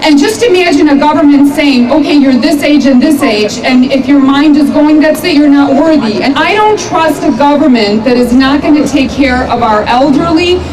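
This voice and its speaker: A woman's voice: sits high, moderate pace, few pauses, crowd noise. A woman from Chicago speaking heartfelt at an outdoor rally. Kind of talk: impassioned public speech. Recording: distant mic, loud street noise.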